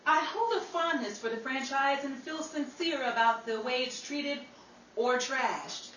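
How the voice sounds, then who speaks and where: A woman's voice: high-pitched, measured pace, a bit bright. A woman presenting a scripted history segment like an old-time newsflash, with formal, theatrical phrasing. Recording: echoey, distant, faintly noisy.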